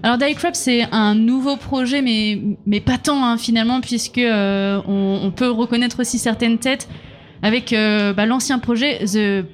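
A woman's voice: a little high, fairly even intonation, moderate pace. A woman interviewing a band on the radio, chatty and hesitant, full of fillers.

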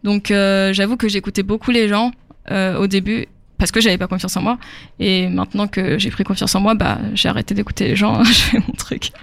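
A woman's voice: mid-range voice, steady tone, fairly quick, creaky. A young woman singer from Africa, answering interview questions casually with many fillers and easy laughs.

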